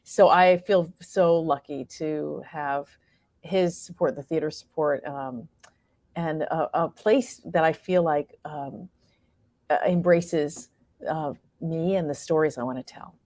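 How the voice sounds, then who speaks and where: Lower-pitched woman, dry close sound. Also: slow pace, darkish tone, dry recording. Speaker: playwright and performer, interview guest. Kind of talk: casual conversational interview answers.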